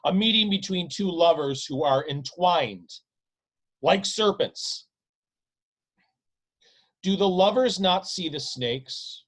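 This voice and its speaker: A man reading his own novel aloud as literary narration, in a deliberate, dramatic manner with drawn-out, emphatic phrasing. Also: high-pitched, animated.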